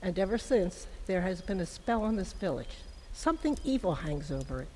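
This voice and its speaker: A woman playing a gentle, timid young village mother in an amateur dramatic Christmas reading, with an earnest, deliberate delivery. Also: fairly low pitch, very animated. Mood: hushed, uneasy. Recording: long hall reverb, faint background noise.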